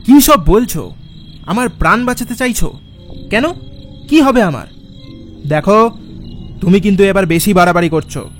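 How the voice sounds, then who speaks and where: Man acting an anxious traveller, slightly high voice. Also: moderate pace, long pauses, soft background music. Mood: irritated. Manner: dramatic, expressive. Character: anxious male traveller recounting his night crossing. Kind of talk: first-person horror story narration.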